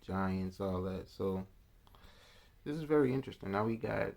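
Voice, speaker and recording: A man's voice: deep voice, normal speed, creaky, a little mellow. A man vlogging a casual reaction, musing aloud in a loose, slangy way with restarts and asides. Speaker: vlogger reacting to news clips.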